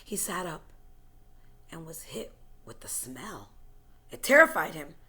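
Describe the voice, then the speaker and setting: A woman reading a story aloud in a dramatic, suspenseful way, moving between hushed whispers and forceful, louder phrases. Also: medium pitch, creaky. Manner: suspenseful and expressive, dropping to whispers and rising to loud, forceful phrases. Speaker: teacher reading a book chapter aloud.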